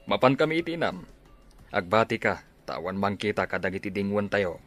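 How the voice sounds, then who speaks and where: A man playing a plain-spoken, protective village chieftain father in a radio drama, with a Filipino accent and a measured delivery. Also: on the low side, lively intonation, a bit bright, faint music behind. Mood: fatherly, instructing.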